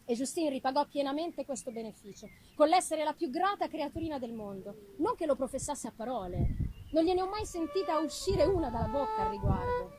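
A woman voicing an affectionate, anxious young gentlewoman in a theatrical, unhurried reading of a letter from a gothic novel. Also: high voice, background noise. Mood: tender, sorrowful. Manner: expressive, unhurried dramatic delivery with pauses. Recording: live music behind the voice.

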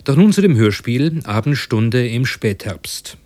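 A man's voice: medium pitch, animated, unhurried, a little mellow, dry recording. A man making a formal, scripted announcement as a radio presenter, close on a studio microphone.

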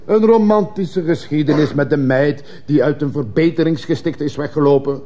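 An actor playing a scornful, rhetorical defence lawyer pleading dismissively in a radio courtroom drama. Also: male voice, a little high, normal speed, warm tone, close to an everyday voice. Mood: scornful, mocking. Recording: faintly noisy old radio recording.

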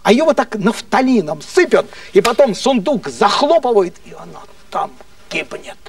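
Рассказчик изображает язвительного советского партийного чиновника, высокий голос. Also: male voice, high voice, very animated, moderate pace, creaky, no echo, far from the mic, heavily acted. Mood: veiled threat. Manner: patronizing, repetitive, insinuating questions. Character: menacing, mocking Soviet party official, slightly drunk.

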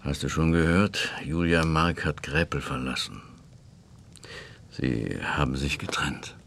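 An actor playing a loyal, dutiful middle-aged official in a German radio play, speaking in a measured, reserved, deliberate way to an old friend. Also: male voice, extremely low pitch, vocal fry, fairly bright tone, slight background noise, heavily acted. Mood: regretful, gentle.

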